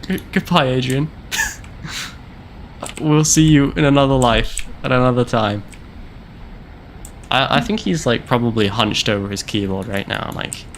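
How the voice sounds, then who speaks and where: A man's voice: medium pitch, gravelly, a bit bright, a little hiss or hum. A young man streaming a game, joking and rambling with lots of fillers. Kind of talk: casual commentary while playing.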